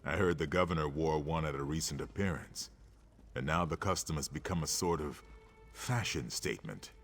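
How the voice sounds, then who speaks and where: A man's voice: rumbling low, moderate pace, a bit bright. An actor playing a serene, pious male temple worshipper in a fantasy game, speaking in a solemn manner. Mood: serene, polite. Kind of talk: game dialogue acting. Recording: music behind the voice.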